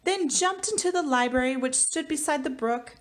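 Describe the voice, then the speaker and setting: A woman teacher giving a storybook read-aloud for children, with expressive delivery. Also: high voice, moderate pace. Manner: expressive, animated reading. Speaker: elementary school teacher.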